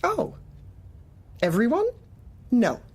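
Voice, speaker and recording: An actress playing a heartless, manipulative office manager in a dark comedy audio drama, deliberate and probing with polite menace. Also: female voice, fairly high pitch, huge pitch swings, husky, full character voice. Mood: veiled menace.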